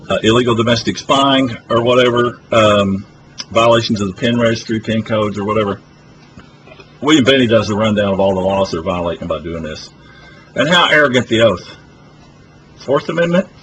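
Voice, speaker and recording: A man's voice: mid-range voice, normal speed, fairly bright tone, a little hiss or hum, low-quality recording. A man hosting a live public access broadcast, rambling and hesitant with many fillers as he leaves voicemails. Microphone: phone camera.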